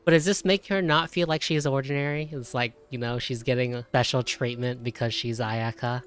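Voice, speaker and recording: A woman with a very deep voice comments casually on a game as she plays. She is chatty and invested, reacting as things unfold. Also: fairly even intonation, a little fast. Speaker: gaming commentator.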